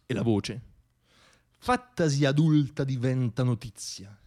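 A man performing a scripted radio theatre monologue as storyteller, with dramatic, deliberate phrasing and pauses. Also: medium pitch, darkish tone.

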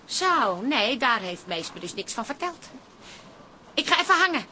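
Casting: bossy wife, swooping sharp delivery, scolding and emphatic, radio comedy. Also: female voice, on the high side, big pitch swings, normal speed. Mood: bossy, angry. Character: bossy, exasperated wife on holiday, sly and scolding. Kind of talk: Dutch radio comedy drama acting. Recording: faint background ambience noise.

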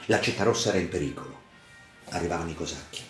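Deep-voiced man narrating theatrically. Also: fairly bright tone. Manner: theatrical, emphatic, measured dramatic delivery. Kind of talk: dramatic scripted literary narration for radio theatre. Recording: roomy echo with music underneath. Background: music.

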